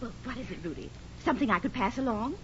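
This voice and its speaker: A woman's voice: lively intonation, fast talker, fairly bright tone, a little hiss or hum. An actress playing a gossipy, teasing middle-aged neighbor woman in a 1940s radio comedy, theatrical and giggly in her exclaiming. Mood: teasing, amused. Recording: muffled, rumbling 1940s radio recording.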